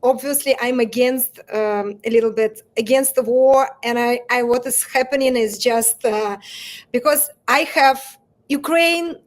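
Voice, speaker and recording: Russian-accented woman, high and halting. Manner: halting, many restarts, laughs between phrases. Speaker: guest, bodysuit brand founder. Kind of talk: candid interview conversation.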